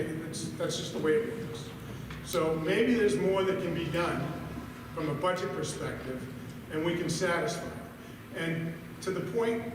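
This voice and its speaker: High-voiced man pressing a board, echoey hall. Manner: argumentative, pressing questions. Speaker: resident questioning the board. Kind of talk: public comment. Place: public school board meeting hall. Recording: echoey hall from far off, noisy.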